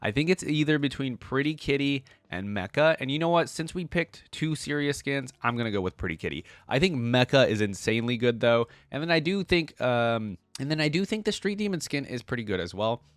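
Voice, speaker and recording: A man's voice: mid-range voice, lively intonation, brisk, few pauses, no room sound. A man hosting a reaction video, talking casually and off the cuff in informal, slangy language as he thinks aloud.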